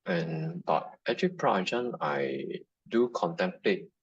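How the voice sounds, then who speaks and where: A man's voice: lowish voice, lively intonation, husky, no room sound. A man asking his teacher a question on a video call, hesitant with many fillers, on dull lo-fi call audio. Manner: halting with many fillers, soft agreeing murmurs. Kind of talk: online dharma Q&A.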